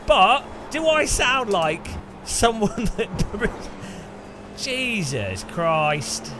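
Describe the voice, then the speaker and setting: A lively man with an English Midlands accent, streaming sim racing and bantering with chat in a jokey way. His voice sits fairly high and swings widely in pitch, with faint music underneath. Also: drawn-out words. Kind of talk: live-stream commentary while gaming.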